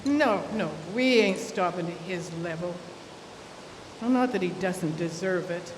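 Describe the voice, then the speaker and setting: Hard-bitten, wary female outlaw actress, blunt and unhurried, vintage radio play in an echoing hall. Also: fairly low pitch, expressive. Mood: grim, decisive. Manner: blunt, earthy, folksy ungrammatical speech. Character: tough, suspicious woman smuggler guarding stolen gold. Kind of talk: old-time radio drama stage acting. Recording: echoey live hall, faint noise.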